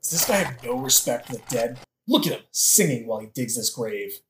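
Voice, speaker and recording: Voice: mid-range voice, animated, fairly quick, natural voice. A performer playing a brooding, indignant young prince who rants sardonically in a modern comic audio drama. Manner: ranting, sardonic, swearing. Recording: roomy echo, faint noise.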